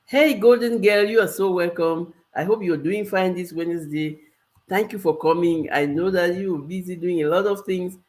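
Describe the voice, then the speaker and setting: A woman's voice: on the low side, normal speed, a little mellow. A Cameroonian-accented woman coaching her viewers in a live stream. She speaks earnestly, circling back and repeating her key phrases for emphasis. Her tone is that of a life coach giving advice.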